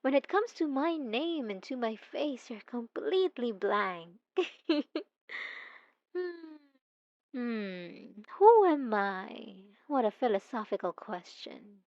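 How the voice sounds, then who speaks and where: A woman playing an obsessive, possessive young stalker in an ASMR roleplay, talking softly and intimately with teasing giggles. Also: on the high side, no echo, lo-fi sound. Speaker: narrator speaking to the listener.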